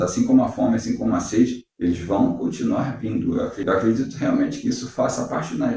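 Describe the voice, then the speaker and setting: Mellow man in an echoey room. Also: on the low side, creaky. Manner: measured, uses rhetorical questions. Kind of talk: reflective devotional talk. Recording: very echoey room, distant mic.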